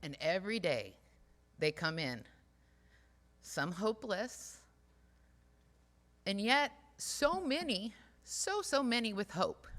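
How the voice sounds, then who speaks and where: A woman speaking earnestly and haltingly to a church congregation, in an informal, heartfelt reflection, repeating phrases for emphasis. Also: medium pitch, a bit bright. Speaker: speaker at a church service, community outreach worker. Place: large church space during a livestreamed service. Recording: reverberant hall sound.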